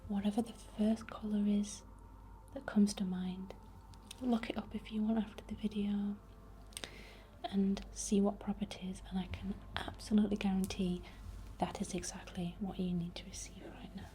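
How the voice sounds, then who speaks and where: A woman whispers in a soft, breathy ASMR style, guiding a healing meditation. Her voice is mellow and soothing, with unhurried phrasing. A faint layer of background noise sits behind her. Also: mid-range voice, level tone, rough recording. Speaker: ASMR host leading a healing session.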